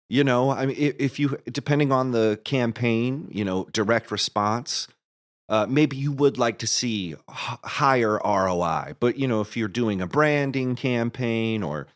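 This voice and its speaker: A man giving casual, halting, thoughtful explanations as an interview guest. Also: mid-range voice. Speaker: guest, composer and marketer.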